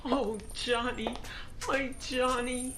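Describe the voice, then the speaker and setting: A woman's voice: fairly high pitch, level tone, slow pace, music in the background. An actress playing a doting, emotional mother in a prison drama, effusive and clinging in manner. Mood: tearful affection.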